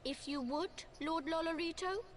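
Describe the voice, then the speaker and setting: An actress voicing a composed, dignified noblewoman leader in a video game, speaking in a formal, measured, commanding way. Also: extremely high pitch, slight background noise. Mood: stern, calm. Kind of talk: video game cutscene acting.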